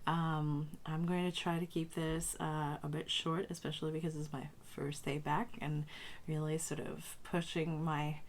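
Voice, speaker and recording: Low-voiced woman, strained after a cold. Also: flat delivery, unhurried, slight background noise. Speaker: tarot reader and channel host. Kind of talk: casual spiritual talk to camera.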